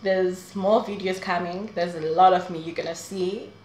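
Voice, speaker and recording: A woman's voice: fairly low pitch, fairly even intonation, moderate pace, darkish tone, reverberant, a little hiss or hum, low-quality recording. A woman in her twenties talking straight to camera in a casual, rambling advice vlog, laughing often. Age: 20s. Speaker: vlogger. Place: small room indoors.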